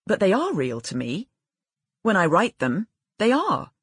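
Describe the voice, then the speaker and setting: A woman's voice: on the low side, huge pitch swings, unhurried, breathy edge, no room sound, clean studio sound, full character voice. A woman narrator playing a wry, defensive forty-year-old female novelist, questioning and indignant, in audiobook dialogue.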